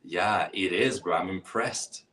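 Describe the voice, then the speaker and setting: A man who hosts a live speaking workshop and coaches with encouraging energy, calling participants brother. English isn't his first language. His voice sits a little low and comes through a roomy space from a slight distance. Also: measured pace, pushed and bright. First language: not English. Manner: calls participants brother and bro, upbeat coaching.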